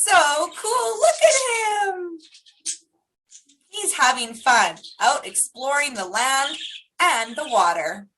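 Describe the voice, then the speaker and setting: A woman hosting a children's storytime, speaking like a teacher in an animated, simple way with drawn-out emphasis. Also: sits high, low-quality recording.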